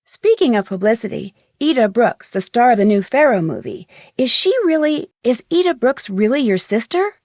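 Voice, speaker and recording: An actress playing a polite, inquisitive young female amateur detective in a video game, speaking earnestly and clearly. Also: a little high, sing-song, moderate pace, hardly any pauses, a little mellow, no echo, studio quality. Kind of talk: scripted video game dialogue.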